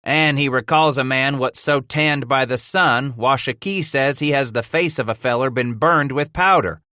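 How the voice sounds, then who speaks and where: A man's voice: medium pitch, normal speed, pushed and bright, no room sound, clean studio sound, voice pushed up, natural voice. A man voicing a young, good-humored fur trapper leader in a rustic mountain-man dialect for an audiobook. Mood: wry, explaining.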